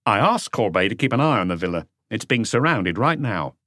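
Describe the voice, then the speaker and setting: Man as a low, composed police detective. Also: very animated, a bit bright, no echo, studio quality. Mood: measured, serious. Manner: measured, matter-of-fact. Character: composed, seasoned male police detective chief. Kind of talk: audiobook character voice.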